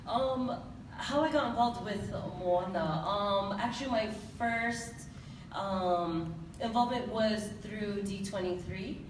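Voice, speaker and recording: Woman, island-raised choreographer, loud teaching voice; distant, roomy, noisy live recording. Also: mid-range voice, slow pace. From Pacific Islands. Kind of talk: live event interview and demonstration. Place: large echoey event hall. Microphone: distant handheld phone recording. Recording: echoey hall from far off, noisy.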